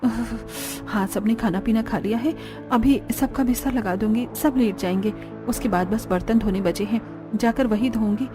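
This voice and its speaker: Young cartoon daughter-in-law female performer: resentful grumbler, highish pitch, soft music underneath. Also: normal speed, close to an everyday voice. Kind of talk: Hindi cartoon story dialogue.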